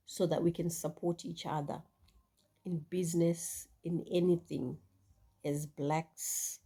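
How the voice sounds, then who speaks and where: A woman's voice: on the low side, dark tone, breathy edge. A Zimbabwean woman vlogger speaking reflectively to her viewers, with many fillers and restarts. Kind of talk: casual talk to camera.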